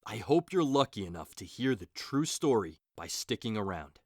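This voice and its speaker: A grave nobleman father, played by an actor in an audio drama, worried and measured. His voice moves with lively pitch and has a dry, close studio sound. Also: no room sound.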